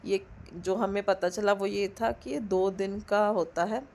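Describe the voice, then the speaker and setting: Hoarse woman chatting over her vlog. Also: medium pitch, steady tone, unhurried, darkish tone. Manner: chatty, loosely phrased, mixing English words into her talk. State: hoarse throat from a cold. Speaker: vlogger. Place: indoors at home, recording the voice-over. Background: a dog barking now and then.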